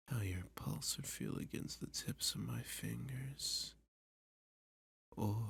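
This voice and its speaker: A man playing a dominant, flirty villain who taunts a hostage in an intimate ASMR roleplay, often dropping to a breathy, teasing whisper. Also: medium pitch, a bit bright, no room sound, full character voice. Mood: menacing, teasing. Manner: slow, teasing, sadistic, possessive.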